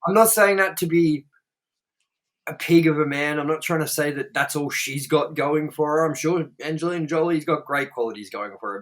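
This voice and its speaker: A man's voice: a little high, fairly bright tone. Rambling, profane man on a podcast. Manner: rambling, profane, with lots of fillers. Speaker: podcast co-host. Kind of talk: casual chat.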